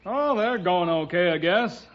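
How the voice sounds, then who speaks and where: An actor playing a defensive working-class builder with folksy, ungrammatical, wary speech in a radio western. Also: male voice, sits high, expressive, a little slow. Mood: hesitant. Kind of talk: old-time radio western acting. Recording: dull vintage radio recording.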